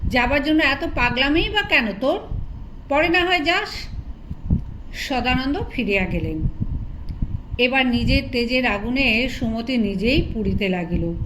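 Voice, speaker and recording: A woman reading a literary short story aloud as an audiobook narrator, in an expressive storytelling manner. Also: fairly high pitch, background noise.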